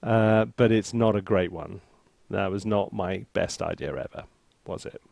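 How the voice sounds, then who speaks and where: A man's voice: fairly low pitch, unhurried, vocal fry, a little mellow, dry recording. A man giving a casual think-aloud music tutorial in a playful, self-deprecating, chatty way. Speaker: composer presenting a music software tutorial and review. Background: orchestral sample music.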